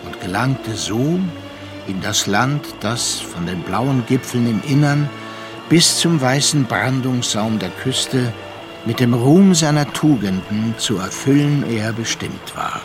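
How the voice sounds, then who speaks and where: An actor playing a composed, reflective storyteller, narrating a radio play in measured literary phrases. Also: male voice, medium pitch, natural voice. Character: composed, reflective male storyteller recalling a friend. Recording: music under the voice.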